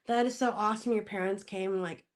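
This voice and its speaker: A woman from Spokane, Washington, speaking as a podcast guest in casual interview chat, laughing often and pausing to search for words. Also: mid-range voice, steady tone.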